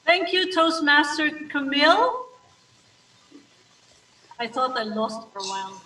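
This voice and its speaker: A woman's voice: high-pitched, lively intonation, slow, sharp tone. A woman hosting an online club meeting in a friendly, easygoing way over a video call. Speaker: meeting host. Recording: echoey room, distant mic, faint noise.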